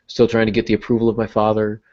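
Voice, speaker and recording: A man's voice: fairly low pitch, completely flat delivery, fast talker, darkish tone. A man hosting a podcast, explaining things casually like a teacher talking to writers. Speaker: podcast host and writing teacher. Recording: dull, muffled lo-fi audio.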